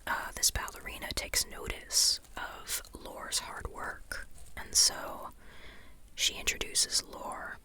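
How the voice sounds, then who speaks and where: An ASMR host speaking entirely in a breathy, hushed whisper, rambling informally about a book with frequent pauses.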